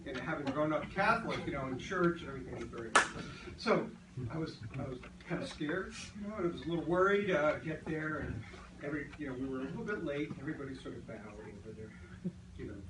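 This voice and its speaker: A man's voice: fairly high pitch, animated, fast, very noisy. Man giving a rambling toast, far off. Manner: rambling, hesitant storytelling. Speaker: party guest giving a toast. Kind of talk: casual spoken toast. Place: birthday party gathering. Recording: echoey room, distant mic, loud crowd.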